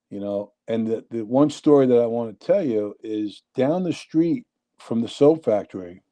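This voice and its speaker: A man in his sixties with a thick New York accent spins long, winding stories as a guest on a casual chat show. His voice is notably dark and mellow, never bright, and he sits at an ordinary middle pitch. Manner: rambling, animated storyteller. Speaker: interview guest. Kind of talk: casual storytelling.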